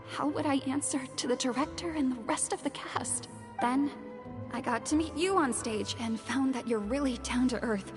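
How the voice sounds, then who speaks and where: A woman voicing an earnest, modest young actress in a video game, with a sincere, polite delivery. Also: high-pitched. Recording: long reverb, music behind.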